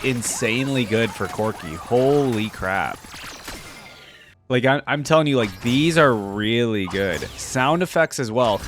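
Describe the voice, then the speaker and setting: A man's voice: medium pitch, a little hiss or hum. A man giving casual, enthusiastic reaction commentary as a gaming video host, full of exclamations and slangy phrasing.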